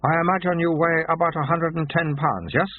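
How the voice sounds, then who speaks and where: A sly, self-satisfied secret-order monk, put on by a male audiobook narrator, his voice raised and drawn out at a deliberate, teasing pace. It comes across tinny and phone-like, with a hint of huskiness. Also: a little high, fairly even intonation, dry recording, pitched above the performer's own voice, natural voice. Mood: smug. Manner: smug, patient and slyly teasing. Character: mysterious dry-witted male recruiter monk.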